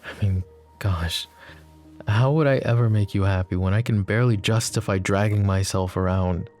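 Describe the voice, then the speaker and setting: A man's voice: on the low side, fairly even intonation, moderate pace, darkish tone, faint music behind, lightly acted. A man playing a smitten, self-deprecating mafia boss in an intimate ASMR romance roleplay, murmuring hesitantly and teasingly. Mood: anguished, vulnerable.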